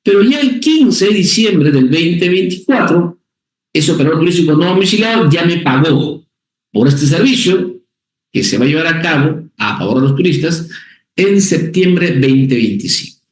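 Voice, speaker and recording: A man's voice: fairly high pitch, animated, moderate pace. Guy teaching a class, keeps saying ok? ya?, repeats stuff, echoey room. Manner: repetitive, checks in with "¿ok?" and "¿ya?"; stresses key words by repeating them. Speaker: tax instructor. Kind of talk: recorded class lecture.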